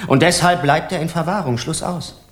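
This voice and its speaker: A man's voice: on the high side, moderate pace, close to an everyday voice. An actor playing a harsh, scornful Parisian justice official in a German radio crime drama, curt and pompous as he interrogates. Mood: indignant, contemptuous.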